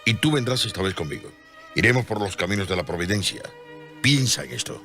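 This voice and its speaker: An actor playing a devout, selfless and determined friar in a solemn, earnest radio drama style. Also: male voice, lowish voice, expressive, fairly quick, over music, close to an everyday voice. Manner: earnest, fervent, declamatory.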